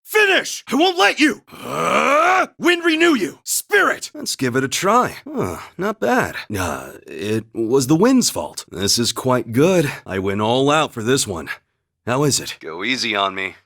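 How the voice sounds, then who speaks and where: An actor playing a calm, earnest young warrior in a video game, humble and loyal, with a measured, formal way of speaking. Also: male voice, mid-range voice, creaky, exaggerated voice. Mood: battle shouts, then easygoing. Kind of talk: scripted video game voice acting, battle cries and story dialogue.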